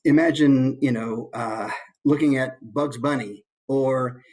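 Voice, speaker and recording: A man's voice: mid-range voice, steady tone, slow pace, a little mellow. An American man, a voice actor, giving an informal instructional talk and musing aloud through examples.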